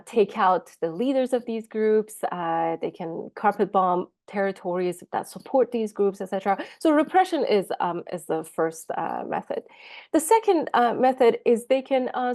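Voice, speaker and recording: A woman's voice: mid-range voice, darkish tone. A woman researcher presenting on a webinar panel, speaking with a cold and many hesitant fillers over a video call. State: has a cold.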